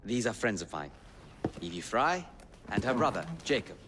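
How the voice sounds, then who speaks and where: An actor playing a courteous, well-spoken Victorian gentleman with a formal manner, in a video game. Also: fairly low pitch, big pitch swings, normal speed. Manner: formal, polite. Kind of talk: game cutscene acting. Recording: long hall-like reverb, faint noise.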